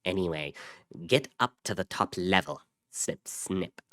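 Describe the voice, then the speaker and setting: Man playing a deadpan, smirking low-voiced psychopath. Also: slow pace, smooth, no room sound, studio quality, heavily acted. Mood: dismissive. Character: apathetic, smirking psychopath brother, darkly flippant.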